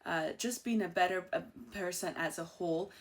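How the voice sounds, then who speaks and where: An outgoing woman in her thirties with an Iranian accent hosts her own show in a loose, chatty monologue. Her voice sits a little on the low side for a woman, and she talks with a bubbly warmth, laughing easily between thoughts. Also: moderate pace. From Iran. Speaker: host. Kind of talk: casual personal monologue.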